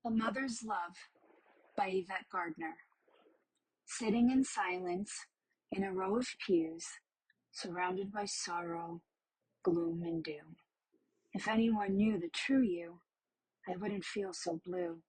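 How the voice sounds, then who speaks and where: A woman recites poetry in a breathy, fairly low voice, very close and dry. She phrases it slowly and somberly. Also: no echo, low-quality recording. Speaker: poet reading own work.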